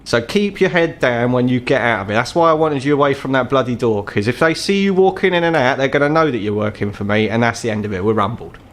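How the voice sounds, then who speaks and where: A man's voice: medium pitch, lively intonation, quick pace, hardly any pauses, a bit bright, lightly acted. A man voicing an embittered, crippled, ageing troll fixer in a tabletop game, with a British accent and a blunt, world-weary, sweary manner. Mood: gruff, resigned.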